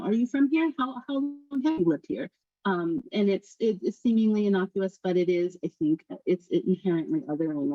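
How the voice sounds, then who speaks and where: Thoughtful woman panelist, soft husky voice, muffled dry recording. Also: fairly low pitch, dry recording. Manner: thoughtful, hesitant, many "um"s. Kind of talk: reflective panel discussion. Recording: dull lo-fi sound.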